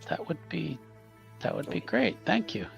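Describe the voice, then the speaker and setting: A tabletop player with a creaky, highly animated voice improvises casually, with some hesitation. The recording is dull and slightly muffled. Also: moderate pace, soft background music.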